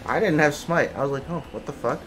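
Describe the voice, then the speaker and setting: A man's voice: on the high side, fast, background noise. A man chatting casually and teasingly in slang as he plays an online team game. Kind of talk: casual gaming banter.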